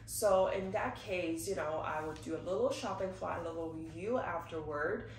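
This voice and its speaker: A woman's voice: on the low side, moderate pace. A congested woman recovering from a cold, chatting casually to her viewers in a filler-heavy way while seated in her living room. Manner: chatty, frequent "like" and "you know" fillers. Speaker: luxury unboxing vlogger. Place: living room, seated behind gift boxes. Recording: echoey room, slightly distant mic.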